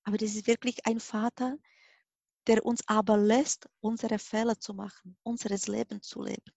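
A woman's voice: on the high side, level tone, normal speed, creaky, mellow, no echo. A middle-aged Czech woman with a Czech accent gives a personal account as an interview guest, searching for her words with emphasis. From Czech Republic. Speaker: interview guest, hypnosis and regression therapist.

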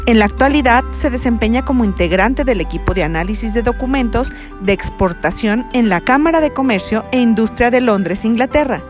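A woman with a Mexican accent reading a formal radio introduction in a measured way. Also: on the high side, expressive. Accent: Mexican Spanish. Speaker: radio program presenter. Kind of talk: scripted presentation. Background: music bed.

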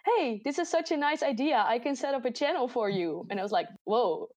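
A woman's voice: fairly high pitch, lively intonation, fairly quick, a little mellow, dry recording. A woman in her twenties from the Netherlands with a Dutch accent, co-hosting a casual podcast chat and laughing often. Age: 20s. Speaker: podcast co-host. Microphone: voice chat recording.

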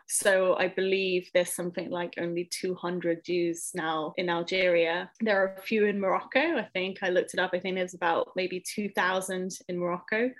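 A young woman from England, a podcast guest, talking casually with many ums and self-corrections as she thinks aloud. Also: lowish voice. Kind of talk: casual interview conversation.

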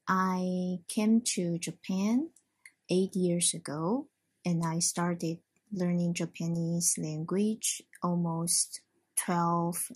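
Halting, husky-voiced Taiwanese-accented woman. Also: on the low side, a little mellow. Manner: halting, searching for words, self-correcting. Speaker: language learner sharing her experience. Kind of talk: personal monologue, prepared talk delivered informally.

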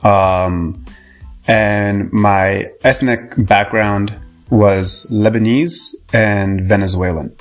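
A man's voice: on the low side, steady tone, drawn-out words, soft background music. A young man from Canada, a podcast guest, talking casually with lots of fillers and restarts. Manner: rambling, many fillers and restarts. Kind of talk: casual interview talk.

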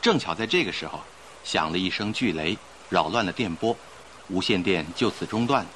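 Suave young gentleman, animated intonation, mid pitch, radio play delivery, faint noise. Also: normal speed, rough recording. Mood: informative, grave. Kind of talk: old-style radio drama acting.

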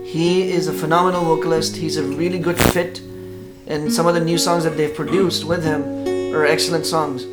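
A man's voice: on the high side, flat delivery, normal speed, loud music behind the voice, poor audio. A man from Pakistan hosting a podcast, asking questions and praising musicians with enthusiasm. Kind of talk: casual podcast chat.

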